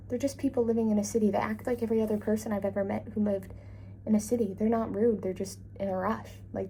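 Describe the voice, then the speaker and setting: A woman's voice: fairly high pitch, mellow, a little hiss or hum, low-quality recording. A young woman from Labrador, Canada, chatting casually in a travel vlog, rambling and self-deprecating, hot and tired. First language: English. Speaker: travel vlogger.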